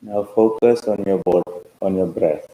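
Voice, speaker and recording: A man leading a guided meditation as a teacher, speaking calmly in measured short phrases with pauses. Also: deep voice, narrow, tinny audio. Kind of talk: slow guided meditation instruction. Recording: roomy, echoing sound.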